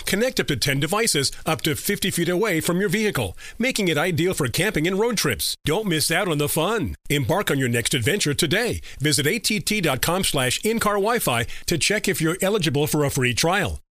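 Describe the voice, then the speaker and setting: Casting: male commercial announcer, slightly high pitch, very dry close sound, scripted read. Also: lively intonation, brisk, hardly any pauses, fairly bright tone, no room sound. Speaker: advertising announcer.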